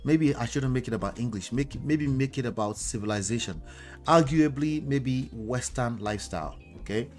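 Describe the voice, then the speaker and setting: Man, Nigerian accent, relationship coach, casual commentary, emphatic, tag questions, mid-pitched voice, faint music behind. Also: moderate pace, a little mellow. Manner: emphatic, with frequent tag questions like "okay?" and "you know".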